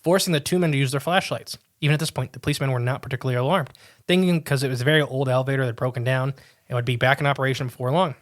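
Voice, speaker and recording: A man's voice: mid-range voice, steady tone, rapid-fire. A man co-hosting a podcast, reading a strange tale aloud with casual asides, often tripping over words and restarting. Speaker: podcast co-host.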